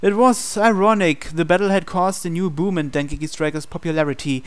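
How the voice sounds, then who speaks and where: A man narrates a visual novel playthrough from the script. His voice is fairly high for a man, smooth and clear, with a dramatic storytelling delivery. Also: a little fast.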